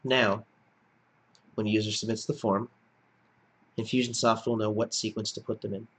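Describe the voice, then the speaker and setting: A man with a slightly low voice narrates a screencast tutorial. He explains each step in a plain-spoken, instructional way, as a teacher guiding someone through software. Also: flat delivery, normal speed, husky.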